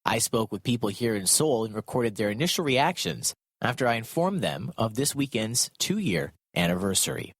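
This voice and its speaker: A man reporting and narrating a scripted radio news piece in a measured, formal read. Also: medium pitch, big pitch swings, breathy edge, no room sound.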